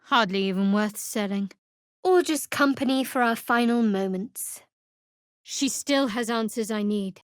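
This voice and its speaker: High-voiced, guarded fantasy cleric played by an actress. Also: female voice, unhurried, no room sound, clean studio sound, natural voice. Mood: dry, pragmatic. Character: guarded, wry female cleric of a dark goddess. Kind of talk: fantasy video game voice acting.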